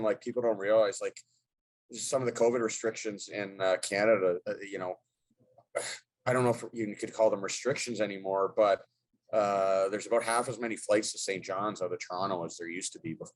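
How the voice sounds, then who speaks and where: A man's voice: lowish voice, steady tone, normal speed, rough recording. A middle-aged man, a hockey head coach, answering press questions in a loose, rambling way with many fillers and restarts.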